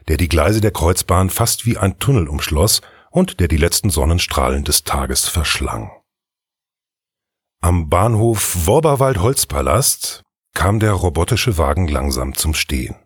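Male fairy tale narrator, husky and expressive, recorded very dry and close. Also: sits low, measured pace, dry recording. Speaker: storyteller, narrator.

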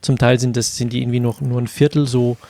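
A man speaking casually in a group discussion as an audio drama producer, haltingly searching for words. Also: mid-range voice, little pitch movement, vocal fry, warm tone.

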